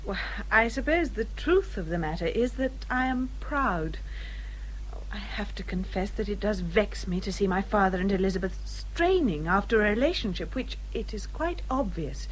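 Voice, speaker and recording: An actress playing a thoughtful, proud young English gentlewoman in a period radio drama, polite and reserved. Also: medium pitch, expressive, normal speed, slight background noise, lightly acted, low-quality recording. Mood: earnest.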